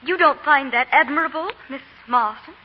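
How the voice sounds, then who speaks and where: An actress in a 1940s radio drama playing an anxious, proud young Southern wife, formal and earnest. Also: female voice, sits high, normal speed, natural voice. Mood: stiff, proud. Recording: muffled, noisy 1940s radio recording.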